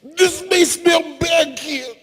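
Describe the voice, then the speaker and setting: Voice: very high-pitched, slow pace, fairly bright tone, soft background music, full character voice. An actor playing an urgent, exclamatory cartoonish hero in a live comedic parody script reading. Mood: disgusted. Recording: echoey convention hall.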